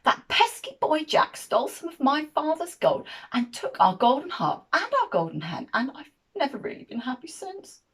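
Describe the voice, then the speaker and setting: A woman voicing a very old, toothless male giant in a children's story, gruff, demanding and given to fierce roaring. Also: high-pitched, big pitch swings, normal speed, few pauses, a bit bright, pitched above the performer's own voice, heavily acted. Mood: grumbling, mournful. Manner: roaring, fierce, swooping delivery.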